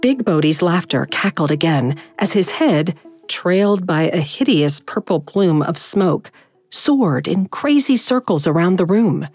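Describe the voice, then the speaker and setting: A woman reading a novel excerpt aloud in an expressive, measured narration style. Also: lowish voice, breathy edge, faint music behind. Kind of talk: audiobook narration.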